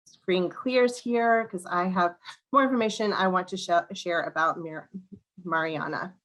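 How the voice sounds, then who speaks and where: A woman with a slightly crackly, hoarse voice hosting an online museum program, reading a welcome and introducing a guest, often clearing her throat and restarting words. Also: on the low side, unhurried, a bit bright. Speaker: museum education director hosting a webinar. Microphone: video call.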